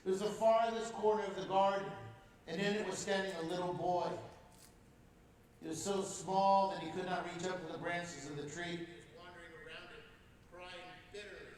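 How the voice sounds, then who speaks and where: A male guest speaker reads a storybook aloud to a congregation, expressive and unhurried. His voice is on the high side. It is heard from a distance across a large, echoing church. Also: slight background noise. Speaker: guest speaker at a parish mission. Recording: echoey church heard from far off.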